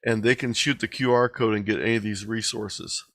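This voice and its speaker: A man's voice: fairly low pitch, fairly even intonation, fairly quick, fairly bright tone, no echo, clean studio sound. A man speaking as a guest presenter in an online meeting, explaining things conversationally and taking questions. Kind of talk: informal presentation and question-and-answer.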